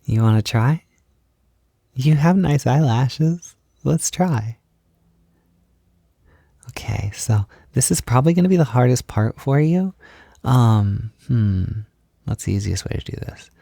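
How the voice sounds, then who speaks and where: Male, soft intimate roleplay, encouraging and gentle, gravelly fry, very dry close recording. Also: medium pitch, no echo. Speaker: roleplay audio performer. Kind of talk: soft-spoken intimate roleplay, like a personal makeup tutorial.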